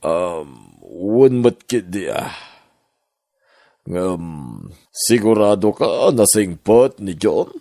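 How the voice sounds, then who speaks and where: An Ilocano-accented man playing a devoted, hesitant husband in a radio-style drama, speaking deliberately. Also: lowish voice, dark tone, no room sound, studio quality.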